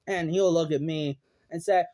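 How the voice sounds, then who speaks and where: A man's voice: fairly high pitch, steady tone, normal speed, dark tone. An American man in his thirties giving rambling solo commentary in a subdued, repetitive way. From United States. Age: 30s. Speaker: vlogger.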